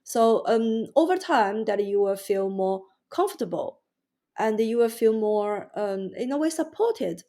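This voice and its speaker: A woman with a Mandarin Chinese accent, a guest in a podcast interview, speaking haltingly with many restarts and fillers. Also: medium pitch, animated, dark tone.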